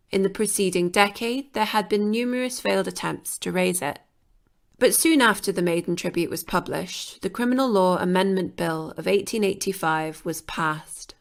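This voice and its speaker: A woman reading scripted documentary narration for a podcast in a measured, even way. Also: on the low side, gravelly.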